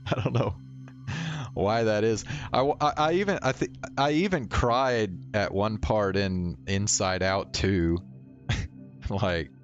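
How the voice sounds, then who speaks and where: A man chatting casually as he streams a game. His voice is on the low side and very unhurried, laid-back and halting, with a slightly dull sound and soft music behind. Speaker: livestreamer.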